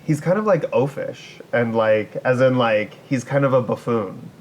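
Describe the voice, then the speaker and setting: A man co-hosting a podcast in loose, unscripted chat, jokey and thinking out loud. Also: medium pitch, moderate pace, slight background noise. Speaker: podcast co-host.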